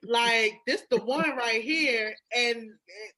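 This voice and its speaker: A woman in a candid, casual podcast chat, expressive and slangy, swearing freely. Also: a little high, takes it slowly.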